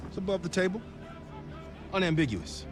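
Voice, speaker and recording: An actor playing a bitter, reluctant military pilot in a video game, curt and resentful. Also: male voice, high voice, animated, normal speed, music in the background. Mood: bitter, resigned. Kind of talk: cinematic video game acting.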